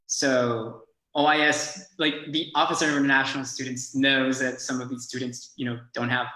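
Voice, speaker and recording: A man's voice: mid-range voice, little pitch movement, normal speed, bright, forceful tone. A young man from Mexico, a native Spanish speaker, hosting a student Q&A in an easygoing way, full of "you know.". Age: young adult. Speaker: student host. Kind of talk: casual Q&A, reading questions. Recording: echoey room.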